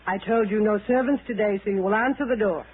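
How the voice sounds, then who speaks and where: An actress playing a haughty, commanding rich widow in 1960s radio drama, with clipped, stubborn delivery. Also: female voice, mid-range voice, fast talker, narrow, tinny audio, lightly acted. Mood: imperious. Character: imperious rich widow, haughty and stubborn old-money client. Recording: thin band-limited old radio recording, faint noise.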